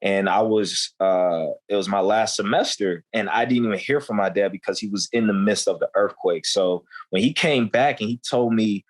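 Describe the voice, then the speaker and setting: A man's voice: fairly low pitch, normal speed, hardly any pauses. A young man in his twenties, a guest telling candid stories in loose, slangy conversation, often saying "you know what I'm saying.". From United States.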